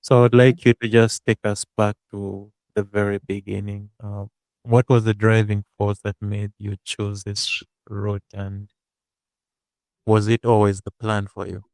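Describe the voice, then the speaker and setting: A man hosting an online panel, speaking in a halting way with many fillers and restarts. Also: on the low side, level tone, darkish tone, dry recording, poor audio. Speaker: host.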